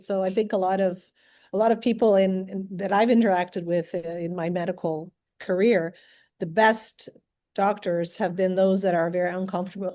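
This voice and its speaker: A woman's voice: mid-range voice, darkish tone. A woman who is a doctor, speaking as a guest in a casual podcast conversation, thoughtful with a few restarts and light laughs.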